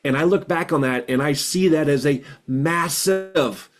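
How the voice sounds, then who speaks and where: A man's voice: on the high side, unhurried, music in the background. A man in his fifties who stutters, sharing earnestly and reflectively in a group video call, repeating words for emphasis. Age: 50s. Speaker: support group participant.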